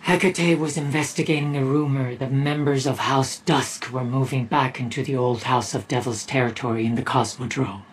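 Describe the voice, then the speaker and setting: An actor playing a composed, serious field commander, giving a deliberate video game mission briefing. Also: male voice, medium pitch, fairly even intonation, a little hiss or hum, natural voice. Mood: grave, purposeful. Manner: deliberate, measured.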